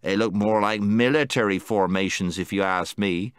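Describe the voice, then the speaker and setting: A man's voice: on the low side, normal speed, smooth, dry recording, studio quality, natural voice. A man voicing a gloomy, cautious male marsh creature who grumbles warily. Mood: suspicious. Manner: wary, grumbling.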